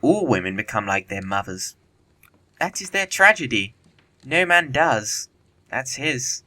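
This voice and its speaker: A man's voice: mid-range voice, big pitch swings, measured pace, sharp tone, heavily acted. A man playing a witty young dandy in a period comedy audio play, breezy and arch in manner. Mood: smugly witty.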